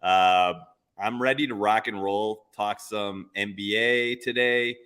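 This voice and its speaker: A man's voice: fairly low pitch, fairly even intonation, slow, a bit bright, clean tone. A man co-hosting a casual sports betting show, upbeat and eager as he talks through his picks. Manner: upbeat, enthusiastic. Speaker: co-host.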